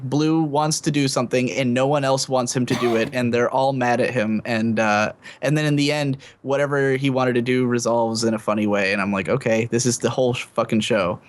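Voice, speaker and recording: A man giving casual, opinionated commentary as a podcast panelist on an online call, with some swearing. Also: medium pitch. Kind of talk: casual opinionated chat, profane.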